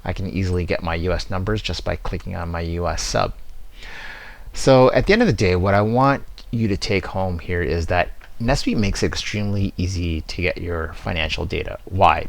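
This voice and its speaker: A man giving an explanatory software demo walkthrough, stressing his key words as he presents. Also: low voice, creaky.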